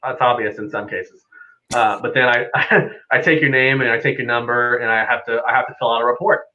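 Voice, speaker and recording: A man's voice: medium pitch, fairly even intonation, brisk, muffled recording. A man, an air traffic controller guesting on a live stream, explaining things casually in an easygoing, conversational way. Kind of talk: casual unscripted explanation with anecdotes.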